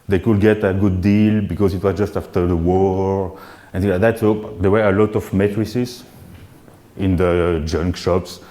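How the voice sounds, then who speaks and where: A man, giving a live keynote talk at a synth meetup in a relaxed, self-deprecating way with frequent restarts. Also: deep voice, measured pace. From Belgium. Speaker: keynote speaker. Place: event venue before an audience.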